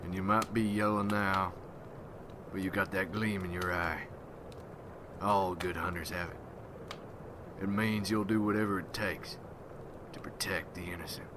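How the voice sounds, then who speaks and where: A man playing a gruff, hardened vampire hunter in an ASMR roleplay, speaking in folksy rustic slang as he mentors a newcomer. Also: low voice, fairly even intonation, unhurried, pauses often, noisy background. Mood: friendly.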